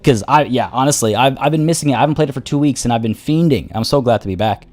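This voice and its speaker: A man's voice: medium pitch, quick pace, a little mellow, soft background music. A young man streaming a game from his home setup, his voice getting worn, rambling through casual commentary with lots of fillers and restarts.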